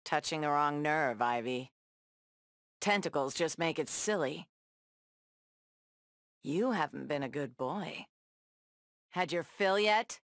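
An actress playing a tough, sardonic superheroine brawler in a video game, tossing out sassy, taunting one-liners. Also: female voice, deep voice, slow, long pauses, no echo, clean studio sound. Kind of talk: video game fight banter.

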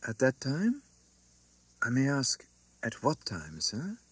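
Man voicing an anxious, husky young gentlewoman. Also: medium pitch, wildly swooping pitch, takes it slowly, no room sound, heavily acted. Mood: anxious, hesitant. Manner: formal, hesitant, pleading. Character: anxious, pleading, soft-spoken young English gentlewoman. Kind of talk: dramatised audiobook reading.

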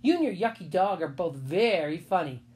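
A woman voicing a whiny, indignant tattletale schoolgirl in a children's storybook, complaining in drawn-out words. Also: lowish voice, animated, darkish tone, close to an everyday voice. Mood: mocking.

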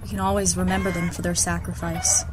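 A woman's voice: on the low side, little pitch movement, fast, music in the background, close to an everyday voice. An actress playing a defiant, disgraced air officer in an audio drama, solemn and formal in her speech. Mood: earnest, solemn. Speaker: voice actor. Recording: echoey space with faint noise.

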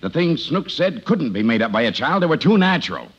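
Pompous, husky, indignant boss. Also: male voice, medium pitch, very animated, a little fast, fairly bright tone. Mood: stern. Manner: pompous, wordy. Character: pompous, indignant male boss, henpecked by his wife. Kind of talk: 1950s radio comedy acting. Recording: dull old radio recording.

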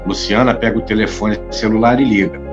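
A man's voice: lowish voice, fairly even intonation, a little fast, dull audio, music in the background. A man narrating an audio drama in a scripted style.

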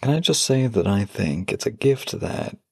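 A man with a low, gravelly, husky voice talks slowly and tenderly into a close microphone. His tone is teasing and affectionate. The sound is dry and intimate, with no room around it. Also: expressive, no echo. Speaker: voice actor. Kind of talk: intimate audio roleplay.

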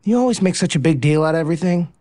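A man's voice: on the high side, expressive, rapid-fire, warm tone, close to an everyday voice. An actor playing an easygoing, cocky, slightly dim male athlete in a romantic comedy audio drama, casual and nonchalant. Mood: sheepish, unbothered.

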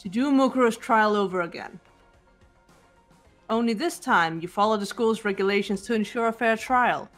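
A woman voicing a cool, composed teenage girl detective in a game, measured and challenging. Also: on the high side, soft background music, natural voice. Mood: calm, determined. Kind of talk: character voice acting in a game fan dub.

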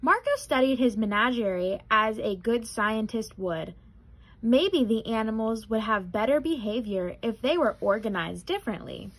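A young adult woman reading a children's picture book aloud in an expressive, careful way. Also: on the high side, normal speed, slight background noise, rough recording. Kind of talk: children's storybook read-aloud.

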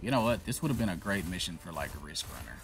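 A man giving casual, profanity-laced commentary while playing a shooter game, reacting loudly to what happens on screen. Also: deep voice, very animated, fast talker, vocal fry, faint music behind. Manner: swears freely, reacts loudly to in-game events. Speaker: gameplay commentator.

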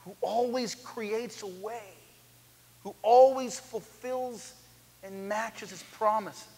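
Man, 30s, preacher, animated sermon, high voice, echoey church hall. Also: takes it slowly, breathy edge. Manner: animated, paces the stage, swings from loud to hushed. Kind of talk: lively sermon. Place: church sanctuary, large, indoors.

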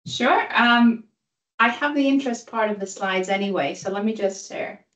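A woman's voice: fairly high pitch, normal speed, a bit bright. A woman in her thirties from Greece and Italy with a Greek accent, giving an enthusiastic presentation as a guest speaker on a video call, with a light joking tone. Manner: upbeat, enthusiastic, self-deprecating humor. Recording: roomy sound, voice a bit far from mic.

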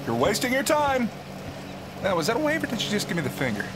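A man's voice: high-pitched, wildly swooping pitch, heavy background noise. A man playing a sarcastic young treasure hunter. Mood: wry. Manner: sarcastic, quick quips. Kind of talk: fan audio drama acting. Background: loud music score.

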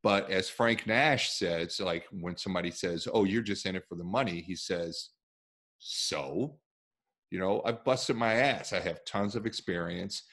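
A man with a deep, low voice hosts a podcast from a room at home, talking into a headset on a video call. He chats casually but with emphasis, punching his key points. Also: a little slow. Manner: animated, emphatic, gestures with hands. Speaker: podcast host. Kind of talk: casual interview chat.

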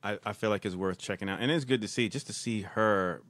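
A man's voice: fairly low pitch, fairly quick, fairly bright tone, no room sound. A man chatting casually and bluntly with a co-host on a podcast.

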